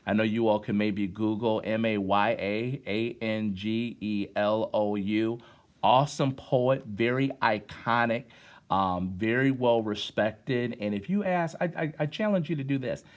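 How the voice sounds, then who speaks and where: A man's voice: medium pitch, moderate pace. A middle-aged American man reading and chatting to children in a conversational way, with questions and encouragement, like a former teacher at story time.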